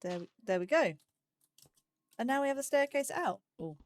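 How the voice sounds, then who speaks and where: A woman's voice: high voice, animated, normal speed. A woman giving casual let's play commentary, chatting and musing aloud in a self-deprecating way. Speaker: gaming YouTuber, host of a let's play series.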